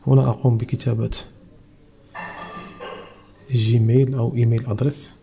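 A man's voice: mid-range voice, little pitch movement, normal speed, gravelly, warm tone, slight background noise. A Moroccan man with a Moroccan Arabic accent, hosting a technical tutorial and explaining each step of a device setup. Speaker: channel host.

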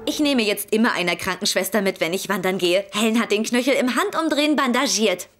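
A woman playing a good-natured adult female firefighter in a German cartoon dub, friendly and expressive. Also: mid-range voice, a little fast, lightly acted. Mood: light-hearted. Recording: faint music behind.

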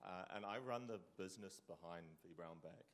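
Low-voiced New Zealand man. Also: fairly quick. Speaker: community organizer, panelist. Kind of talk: conference panel talk.

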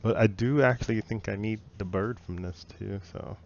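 A man's voice: fairly low pitch, moderate pace, creaky, dull audio, slight background noise. A man streaming a game, giving casual commentary and muttering to himself as he thinks aloud. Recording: loud music behind the voice.

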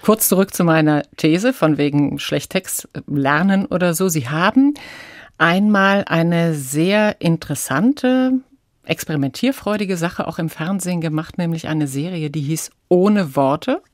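A fairly low-voiced woman hosts an interview. Her phrasing is deliberate and formal, yet conversational. The recording is dry, as in a studio. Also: dry recording. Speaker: radio interview host. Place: quiet, dry radio studio.

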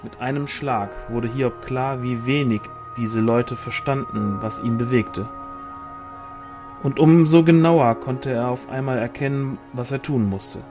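A man's voice: medium pitch, measured pace, over music. A man reading a novel aloud as scripted narration. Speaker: audiobook reader.

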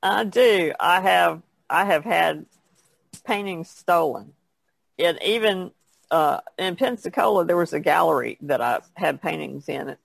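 A man's voice: a little high, animated, measured pace, gravelly, fairly bright tone, no echo. A man talking casually as an artist guest on a podcast, telling stories in a plainspoken way. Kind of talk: casual conversational storytelling.

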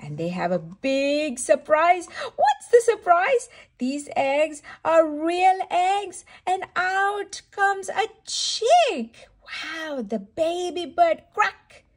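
A woman's voice: extremely high pitch, big pitch swings, very slow, few pauses. A woman reading a picture book aloud to toddlers as a storytime host, in a sing-song, exclaiming manner with simple questions for a small child.

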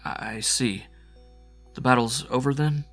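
A man's voice: mid-range voice, unhurried, fairly bright tone, soft background music, pitched above the performer's own voice, lightly acted. A man voicing a wounded male space scout, subdued and earnest, in a science fiction story. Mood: subdued, questioning.

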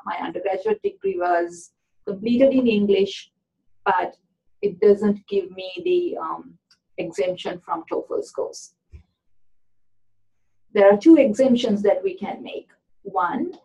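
A woman's voice: mid-range voice, creaky, warm tone. A woman walking through admission requirements in an informational webinar, explaining step by step with frequent "um" fillers. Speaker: admissions presenter. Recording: dull lo-fi webinar audio.

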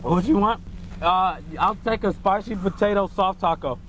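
A man's voice: high voice, normal speed, a bit bright. A young man posing as a drunk drive-thru customer, rambling and repeating himself in casual banter inside a car. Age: young adult. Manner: acting drunk, rambling and repetitive. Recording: noisy car and street sound. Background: car and outdoor noise.

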